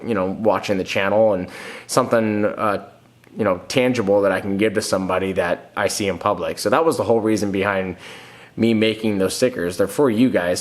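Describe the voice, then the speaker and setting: A man in his thirties hosting a fishing vlog, answering viewer comments in a plain, rambling way, sounding nasal from a cold. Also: on the low side. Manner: plain-spoken, restarts often, reads comments aloud. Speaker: fishing channel host. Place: home room, indoors.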